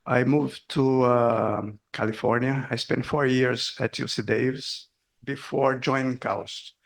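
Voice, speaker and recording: Middle-aged guy from brazil giving a seminar talk, lots of uhs and ums, gravelly voice. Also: mid-range voice, fairly even intonation, unhurried. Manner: hesitant, many fillers, chuckles at himself. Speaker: guest speaker. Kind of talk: academic presentation. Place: seminar hall.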